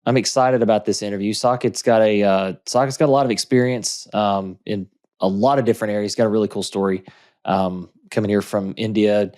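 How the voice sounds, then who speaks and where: A man's voice: fairly low pitch, steady tone, a little fast, darkish tone. A man co-hosting a podcast, chatting in an easygoing way with frequent fillers and restarts. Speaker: podcast co-host. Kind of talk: casual podcast chat.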